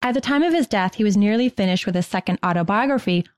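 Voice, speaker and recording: A woman's voice: medium pitch, animated, fast, clean tone, no room sound. American woman narrating history, dry studio sound. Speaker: podcast co-host. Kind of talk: scripted history podcast narration.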